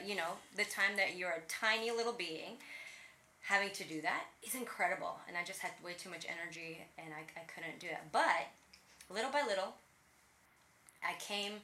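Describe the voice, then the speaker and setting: A woman's voice: fairly low pitch, reverberant, slight background noise. A woman from Latvia whose first language is Russian, telling her story as an interview guest with lots of energy and laughter. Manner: energetic, laughs often, animated. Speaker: guest, personal trainer.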